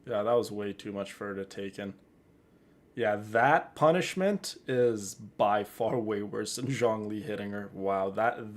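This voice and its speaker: A man's voice: fairly low pitch, slight background noise. A man giving casual commentary as he plays a game, repeating phrases for emphasis. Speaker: gaming streamer.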